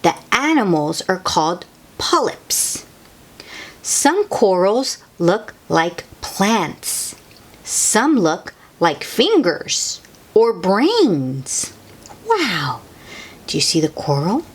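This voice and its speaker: Woman for children's read-aloud: very slow delivery, lively swooping intonation, simple questions to the young listener. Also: mid-range voice, breathy edge. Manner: child-directed, asks the listener questions, exclaims in wonder. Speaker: host reading picture books aloud to children.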